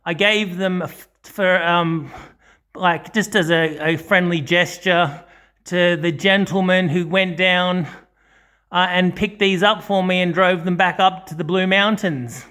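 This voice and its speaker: A man's voice: high voice, steady tone, a little slow, sharp tone, clean tone. A man giving a casual, unscripted video walkthrough in a hesitant, rambling way, often correcting himself. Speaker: audio channel host. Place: indoors, small home study.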